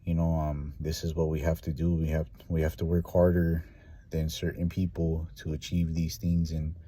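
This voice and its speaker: A man's voice: a bass voice, completely flat delivery, moderate pace, dark tone, slightly rough. A man who works as a machinist, speaking earnestly in an interview with many fillers. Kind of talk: casual interview answer.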